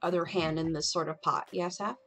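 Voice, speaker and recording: A woman's voice: fairly low pitch, fairly even intonation, brisk, a bit bright, soft background music. A woman hosting a live stream, chatting casually and rambling with lots of "like" and "you know". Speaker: streamer host.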